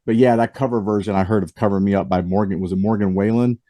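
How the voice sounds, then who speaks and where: A man's voice: on the low side, steady tone, fast talker, warm tone, no room sound, studio quality. A man hosting a casual music review chat and losing his voice after the flu, rambling with frequent restarts and fillers. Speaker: host.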